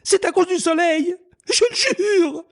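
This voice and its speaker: A man's voice: very high-pitched, a little slow. An actor playing an anxious, self-deprecating man of thirty-one who tells his own story in a theatrical audio drama. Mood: panicked, pleading. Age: 30s. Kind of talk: first-person audio drama narration.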